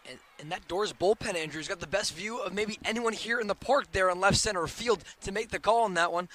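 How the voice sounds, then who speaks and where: A man's voice: high voice, a little fast, a bit bright. An American man giving conversational color commentary during a live baseball broadcast.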